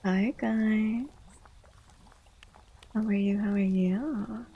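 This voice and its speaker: Female ASMR stream host, soft-spoken with creaky fry and a breathy husk, slipping into whispers, gentle and close-miked. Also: medium pitch, fairly even intonation, dull audio. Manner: gentle, unhurried greeting of viewers. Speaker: livestream host, VTuber.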